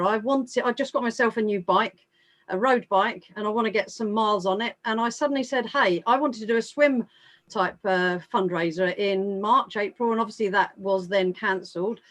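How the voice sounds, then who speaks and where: A woman's voice: mid-range voice, fairly bright tone. An English woman speaking informally and earnestly as a scout leader, enthusiastic and emphatic in making her appeal. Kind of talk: informal appeal at an online awards evening.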